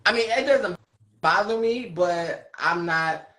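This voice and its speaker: A man with a slightly high voice hosts a casual podcast chat. He speaks in a hesitant, guarded way. His voice echoes around a roomy space. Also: takes it slowly, sharp tone. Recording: echoey room.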